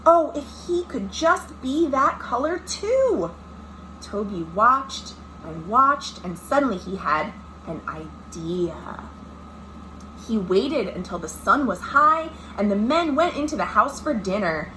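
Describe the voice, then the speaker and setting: A woman reads a picture book to children in a high, animated voice. She takes her time, leaning on words for drama and addressing her young audience directly. It is a homemade recording with some background noise. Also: takes it slowly. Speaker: children's librarian hosting storytime. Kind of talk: read-aloud children's picture-book storytime with asides to young listeners. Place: indoors at home. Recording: noisy amateur home recording.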